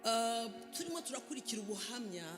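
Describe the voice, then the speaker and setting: A woman from Rwanda, a pastor, preaching with fervour and rising to shouts as she urges the congregation. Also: fairly high pitch, lively intonation, fast talker. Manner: shouting fervently, urging the congregation. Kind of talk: sermon preaching in Kinyarwanda, interpreted. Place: church hall. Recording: echoey hall with music.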